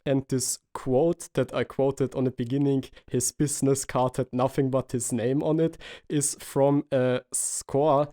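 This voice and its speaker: A man's voice: mid-range voice, flat delivery, unhurried, hardly any pauses, warm tone. Austrian man hosting a film podcast. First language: German. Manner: rambling with many fillers and restarts. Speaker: podcast host. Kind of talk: casual film discussion.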